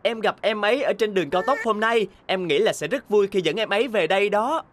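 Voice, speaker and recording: A woman's voice: medium pitch, fairly quick, close to an everyday voice. A woman voicing a friendly, boyish young city bus who talks like an encouraging big brother in a children's cartoon. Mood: cheerful. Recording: loud music behind.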